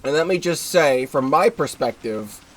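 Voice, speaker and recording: A man's voice: fairly high pitch, lively intonation, normal speed, poor audio. A congested young man giving rambling, casual commentary while streaming a game live, often correcting himself and swearing offhand.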